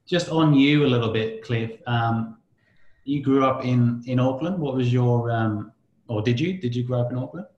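A man's voice: mid-range voice, normal speed, a little mellow, dull audio. Echoey-sounding casual male podcast host. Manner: storytelling, swears freely, laughs while talking. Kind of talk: casual interview chat.

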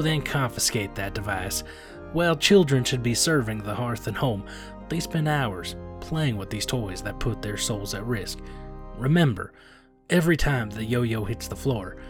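A man's voice: mid-range voice, expressive, a little slow, lightly acted. A man playing a pompous, moralizing reverend giving a solemn, preachy radio sermon. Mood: pious warning. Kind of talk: 1930s radio sermon parody. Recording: guitar music behind the voice.

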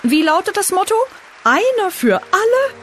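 An actress playing the prim, bossy chief of a heavenly agency, indignant and commanding in a radio comedy. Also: female voice, extremely high pitch, very animated, normal speed, fairly bright tone, slight background noise, heavily acted. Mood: stern bossing. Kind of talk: comedy radio play acting.

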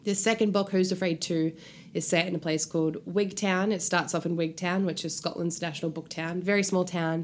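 A woman from New Zealand chatting casually as an interview guest, joking and using slang. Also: fairly low pitch. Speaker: guest author. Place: hotel room. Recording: background noise in room.

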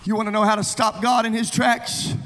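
A hoarse man preaching as youth pastor at a live church service, fervently calling out to the congregation. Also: sits high, level tone, brisk, a bit bright. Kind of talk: Pentecostal-style preaching. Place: church sanctuary. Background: organ music.

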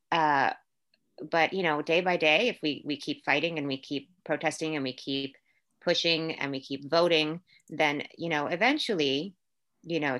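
Bright-voiced, low-pitched woman chatting. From Puerto Rico, raised in Orlando, Florida. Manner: chatty, lots of fillers and restarts. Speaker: guest, actor. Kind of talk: casual conversation.

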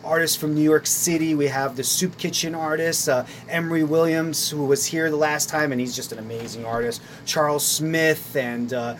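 A man talks up a community arts event with enthusiasm, dotting his speech with fillers. His voice sits somewhat high, and a faint background hum runs under the recording. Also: steady tone, moderate pace, few pauses. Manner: enthusiastic promoter, many fillers. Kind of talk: casual radio interview.

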